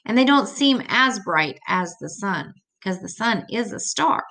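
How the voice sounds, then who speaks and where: A woman's voice: mid-range voice, animated, unhurried, bright, forceful tone. An older woman reading an educational children's book aloud, adding simple, patient explanations as she goes.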